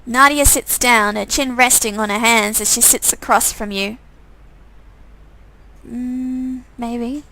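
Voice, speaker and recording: A woman's voice: on the high side, moderate pace, hardly any pauses, sharp tone, slight background noise, poor audio. A woman casually reading a visual novel aloud as a live streamer, dropping murmured asides between the lines.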